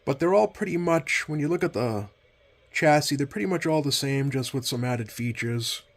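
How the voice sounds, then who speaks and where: A man's voice: medium pitch, lively intonation, normal speed. Man, casual hobbyist host, hesitant delivery, rambling explanations, frequent self-corrections. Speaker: host, CB radio collector. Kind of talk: casual product demonstration. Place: indoors at a workbench.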